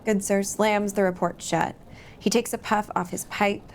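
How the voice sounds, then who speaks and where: A woman hosting a table read, chatting briskly and then reading stage directions aloud. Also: medium pitch, steady tone, slight background noise. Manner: brisk, upbeat, laughs easily. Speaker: host and script narrator.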